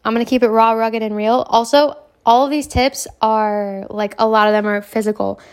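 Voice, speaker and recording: A young woman hosting a casual self-help podcast, hoarse from a cold, talking in a brash, self-assured way and swearing freely. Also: on the high side, steady tone, moderate pace, a little mellow. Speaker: podcast host.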